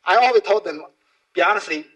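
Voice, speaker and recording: A man's voice: high-pitched, fast talker, a bit bright, low-quality recording. A middle-aged man, a native Chinese speaker with a Chinese accent, giving an informal, explanatory conference talk in non-native English, often checking in with short tag questions.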